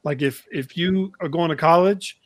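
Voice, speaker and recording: Halting, higher-voiced male interview host. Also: a bit bright, no echo. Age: middle-aged. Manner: halting, roundabout phrasing. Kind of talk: casual chat. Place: indoor room, video call.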